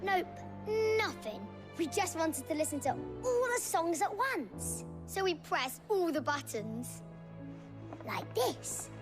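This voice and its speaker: An actor playing an innocent, excitable young child with a small voice in a fantasy video game. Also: very high-pitched, takes it slowly, over music, heavily acted. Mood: guilty, defensive. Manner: innocent, defensive, simple ungrammatical speech. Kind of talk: cinematic video game acting.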